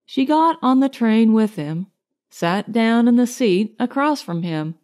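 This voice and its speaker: Slow-paced woman narrating a story. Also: on the high side, expressive, darkish tone. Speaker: audiobook narrator.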